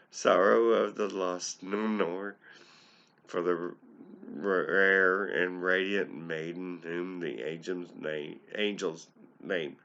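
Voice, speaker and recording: Male amateur poetry reader, low and very unhurried, theatrical, stumbling delivery with a sharp edge. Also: vocal fry, lo-fi sound. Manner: theatrical and halting, with stumbles and sudden swells. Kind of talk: dramatic poetry recitation.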